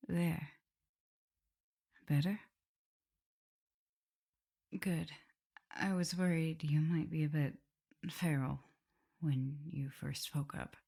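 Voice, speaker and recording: Casting: woman as tender, teasing vampire mistress and prim teacher; ASMR roleplay, breathy half-whisper, creaky low register. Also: fairly even intonation, takes it slowly, long pauses, heavily acted. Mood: caring, coaxing. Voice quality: soft, breathy, often whispering. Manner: tender, playful, hesitant murmuring. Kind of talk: intimate ASMR roleplay addressed to the listener.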